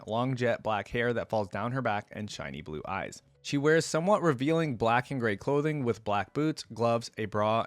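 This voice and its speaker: A man hosting a casual online reaction video, reading wiki text aloud and thinking out loud in an informal way. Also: mid-range voice, no echo. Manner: informal, thinking aloud between read-out passages. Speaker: YouTube host reacting to game lore.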